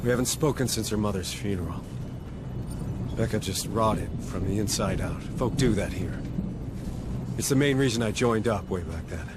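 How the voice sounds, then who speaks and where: An actor playing a gruff, stubborn middle-aged marine sergeant and troubled father in video game dialogue, blunt and sardonic. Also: fairly low pitch, moderate pace, over loud music, natural voice. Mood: bitter, pained.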